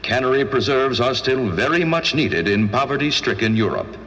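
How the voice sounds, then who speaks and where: A man's voice: mid-range voice, expressive, moderate pace, fairly bright tone. A man reading announcements in formal 1940s radio style. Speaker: station announcer. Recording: vintage radio with hall reverb.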